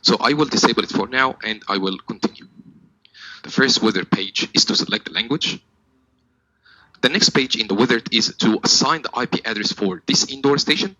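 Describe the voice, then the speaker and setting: A man's voice: mid-range voice, brisk, bright, forceful tone, no echo, low-quality recording. A man working as a technical trainer, giving a step-by-step product tutorial in a methodical, instructional manner.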